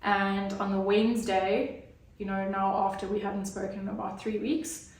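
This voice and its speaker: A woman's voice: medium pitch, flat delivery, normal speed, a little mellow. A woman, telling her personal story as an interview guest in an earnest, hesitant way with many fillers. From Zimbabwe. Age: 30s. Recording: roomy, distant mic.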